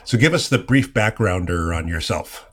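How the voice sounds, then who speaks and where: A man's voice: low voice, animated, normal speed, fairly bright tone, breathy edge. A man from the United States hosting a podcast interview, asking questions in an upbeat, friendly way. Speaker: podcast host.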